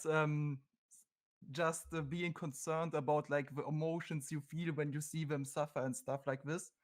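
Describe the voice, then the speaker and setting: A man from Germany with a German accent, a non-native English speaker debating on a call, hesitant and searching for words. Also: fairly high pitch. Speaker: caller.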